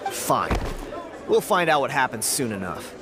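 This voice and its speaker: A man's voice: mid-range voice, very animated, unhurried, crowd noise, noisy background. A man acting an accusing, insinuating agitator in a video game, full of theatrical rhetoric. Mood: sneering. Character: sneering agitator in a crowd, young man, accusing and cowardly.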